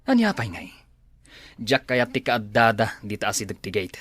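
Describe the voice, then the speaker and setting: Agitated villain man, ilocano accent, pitch jumps all over, protesting, radio drama. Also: very animated, normal speed, a bit bright, dry recording. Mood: uneasy. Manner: exclaiming, agitated.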